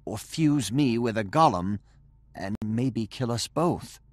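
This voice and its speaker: A man's voice: medium pitch, lively intonation, slow, background noise. A man playing an anxious, devoted young gnome-like engineer in a video game, earnest and pleading. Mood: worried, pleading. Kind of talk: video game cutscene acting.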